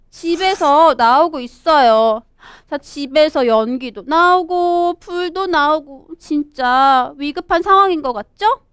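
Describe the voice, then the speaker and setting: High-pitched, animated young woman teaching children. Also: no room sound, clean studio sound. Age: young adult. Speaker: children's English teacher and storybook presenter. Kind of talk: children's lesson reading a storybook aloud with explanations. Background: background music.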